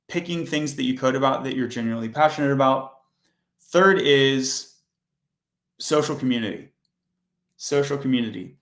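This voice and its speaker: A man hosting a coding livestream, chatting casually and rambling with self-corrections, his voice hoarse and fading. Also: mid-range voice, a bit bright, reverberant.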